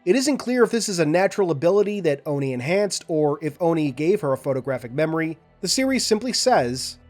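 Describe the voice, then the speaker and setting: Higher-voiced man narrating game lore. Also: sing-song, a little fast, darkish tone, soft background music. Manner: enthusiastic, analytical, addresses viewers directly. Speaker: lore analysis host.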